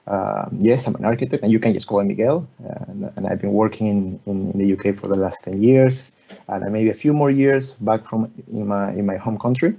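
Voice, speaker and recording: A man, giving an online lecture as a guest architect, talking haltingly with many restarts and repeated words. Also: on the low side, gravelly, slightly rough. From Peru. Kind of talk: online lecture presenting slides. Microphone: video call. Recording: muffled video call audio.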